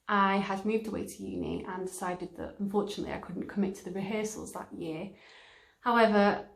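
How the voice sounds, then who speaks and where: A young woman shares a memory conversationally. Her mid-pitched voice has plenty of vocal fry. The room around her echoes. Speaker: pantomime performer. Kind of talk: casual reminiscing.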